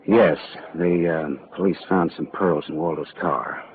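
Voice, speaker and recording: A man's voice: rumbling low, steady tone, a little slow. An American man acting a wry, world-weary but decent private detective in a 1940s radio drama, with a clipped, tough delivery. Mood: gentle, sympathetic. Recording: tinny 1940s radio broadcast, music.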